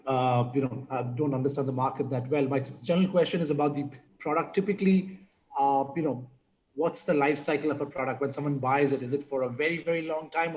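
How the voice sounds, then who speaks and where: Male pitch judge, slightly high register, unscripted question-and-feedback delivery, a bit of background noise. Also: a little mellow, poor audio.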